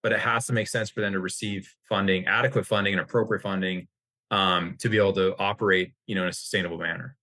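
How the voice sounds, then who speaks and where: Man, American accent, low pitch, dry close recording, informal expert discussion, rephrases often. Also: fairly even intonation, fast talker, pushed and bright, no room sound. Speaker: interview guest, healthcare industry executive.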